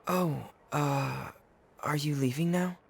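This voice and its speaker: A man's voice: fairly high pitch, slow pace, gravelly, a little hiss or hum. A man playing a shy, introverted teenage boy in an anime game, speaking in a hesitant, earnest, subdued way. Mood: timid. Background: background music.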